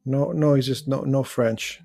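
A man's voice: mid-range voice, fairly even intonation, a little slow, creaky, darkish tone, soft background music. A man hosting a live call-in show, chatting casually, rambling as he searches for words and reads out viewer comments. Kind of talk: casual live-stream chat.

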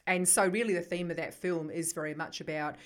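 Woman, New Zealand accent, middle-aged, deep voice, thoughtful and unhurried podcast guest. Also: steady tone. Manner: thoughtful, searches for words as she speaks. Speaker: guest, psychotherapist. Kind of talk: conversational podcast interview.

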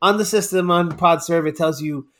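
Male podcast co-host, voice on the high side, excitable and laughing, stumbles over his words. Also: moderate pace. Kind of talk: casual chat.

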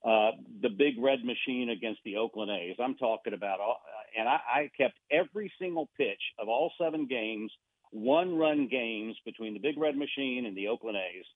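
A man talks casually on a radio show and rambles, tripping over his words with restarts and fillers. His voice comes through a tinny, phone-like line with no room echo at all. His pitch sits in the middle range. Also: normal speed. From Argentina. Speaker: radio guest.

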